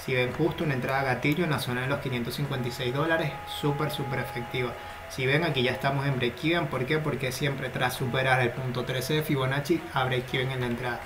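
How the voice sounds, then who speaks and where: A man analysing cryptocurrency charts in a steady, explanatory screen-share commentary. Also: mid-range voice, fast. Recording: noticeable background noise.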